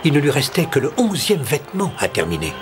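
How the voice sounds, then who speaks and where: A man's voice: on the high side, wildly swooping pitch, a little fast. A man narrating a fairy tale as a storyteller, in a scripted reading. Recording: music behind the narration.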